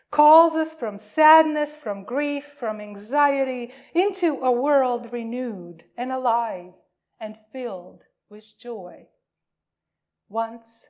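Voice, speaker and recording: A woman pastor welcoming a congregation and reading liturgy, with deliberate phrasing and frequent pauses. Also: high voice. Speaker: pastor leading a worship service.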